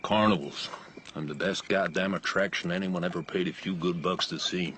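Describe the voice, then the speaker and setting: An actor with an American accent playing a bitter tattooed carnival drifter in a radio drama, brooding and dramatic, dwelling on hushed words. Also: male voice, low voice, fairly even intonation, moderate pace, heavy background noise, natural voice.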